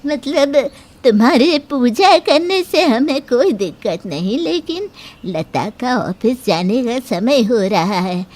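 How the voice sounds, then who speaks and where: A woman acts out a middle-aged Indian mother-in-law from a moral cartoon. She is stern yet fair, with a fairly high voice. Her words come slowly and carefully, as measured admonishment. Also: sing-song, darkish tone, full character voice. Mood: disapproving. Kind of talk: Hindi moral-story cartoon dialogue.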